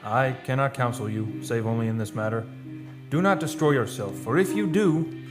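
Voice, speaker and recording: A man's voice: mid-range voice, close to an everyday voice. A man playing a grave, loyal friend and counsellor who also narrates a radio play in solemn, literary style. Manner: solemn, measured literary storytelling. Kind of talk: old-time radio play acting and narration. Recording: music under the voice.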